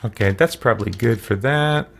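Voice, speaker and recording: A man's voice: mid-range voice, moderate pace, creaky, a bit bright. A man giving casual video game commentary, thinking aloud and muttering to himself as he plays. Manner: muttering to himself while working through menus. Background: game music, keyboard clicks.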